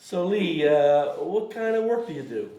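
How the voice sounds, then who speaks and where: A man's voice: fairly high pitch, sing-song, a little slow, dark tone, muffled recording. A man playing a nosy, mocking fishing buddy who needles people at a comedy screenplay table read. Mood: needling. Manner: needling, mock-impressed. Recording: echoey room.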